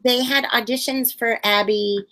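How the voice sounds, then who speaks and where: A middle-aged woman puppeteer telling stories in a casual interview, bubbly and animated, acting out quotes in high voices. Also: a little slow, rough recording.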